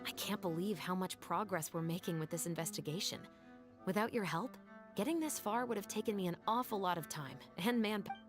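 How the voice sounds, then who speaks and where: A woman playing a composed, methodical young investigator in a video game, speaking in a measured, formal way over music. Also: lowish voice, lightly acted. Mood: appreciative.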